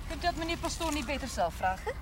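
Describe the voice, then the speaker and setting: A chaplain's careful, polite sister. Her voice is high and light, under strong hiss from an old TV broadcast. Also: lively intonation, fast. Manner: polite, cautious. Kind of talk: 1970s TV drama acting. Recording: noisy old TV broadcast audio.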